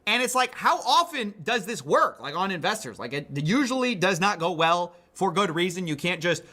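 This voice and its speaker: Bright, high-voiced man commenting on finance. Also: very animated, normal speed. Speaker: online video commentator. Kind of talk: conversational finance news commentary.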